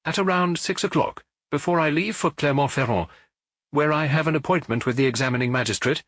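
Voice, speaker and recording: A man reading the part of a busy, businesslike provincial police officer in an audiobook, brisk and matter-of-fact. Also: fairly high pitch, a bit bright, dry recording, clean studio sound, voice pushed up.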